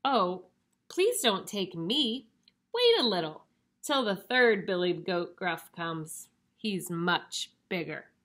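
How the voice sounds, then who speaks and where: A woman voicing a middle-sized storybook billy goat, pleading earnestly in a fuller, louder voice. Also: fairly low pitch, sing-song, slow pace, heavily acted.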